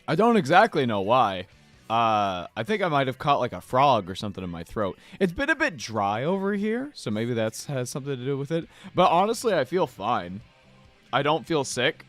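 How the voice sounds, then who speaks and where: A man with a slightly hoarse voice, hosting a casual livestream where he paints miniatures and chats playfully with viewers. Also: mid-range voice, big pitch swings, normal speed, a bit bright, no room sound. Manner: playful, chatty, addresses chat directly. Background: faint background music.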